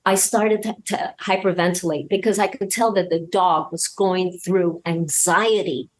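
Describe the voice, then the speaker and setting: A woman talking casually as an interview guest and telling anecdotes, emphatic and halting, often repeating words as she goes. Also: lowish voice, fairly even intonation. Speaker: podcast guest, audio drama creator and voice actor.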